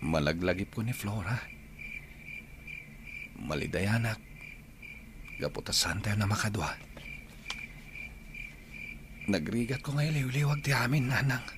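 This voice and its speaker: Guy acting sad ilocano widower in an audio drama, lowish voice, noisy with crickets and birds. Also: normal speed, long pauses, close to an everyday voice. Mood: sad, pensive. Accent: Filipino, Ilocano. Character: sorrowful young widower, devoted and grateful son.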